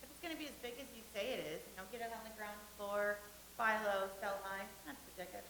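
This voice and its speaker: A woman's voice: medium pitch, quick pace, a bit bright, close to an everyday voice. A woman acting in a staged comedy reading as a dreamy, eager young actress from the horse-and-buggy era. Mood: earnest, eager. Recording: roomy echo, faint hiss and noise.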